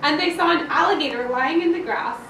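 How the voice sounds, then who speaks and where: A woman schoolteacher reading a picture book aloud to a class, with dramatic, expressive storytelling and emphasis on key words. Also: high voice, a little fast. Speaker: elementary school teacher. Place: indoor school classroom, with children present. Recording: roomy, distant, noisy classroom recording.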